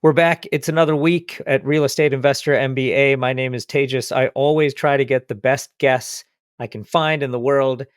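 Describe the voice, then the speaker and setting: A man's voice: medium pitch, fairly even intonation, moderate pace, smooth, no room sound. A man hosting a podcast interview, talking in an enthusiastic, effusive way. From India. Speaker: podcast host.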